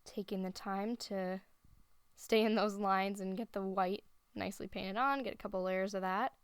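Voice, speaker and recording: A woman giving a relaxed voice-over, explaining her painting steps as she thinks aloud. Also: medium pitch, moderate pace, clear, resonant tone, dry recording. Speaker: art instructor. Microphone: voice-over recorded separately.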